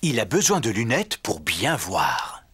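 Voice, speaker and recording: A man's voice: on the low side, huge pitch swings, measured pace, a bit bright, breathy edge, no room sound. A man narrating a children's cartoon from a script, telling the story simply for young listeners.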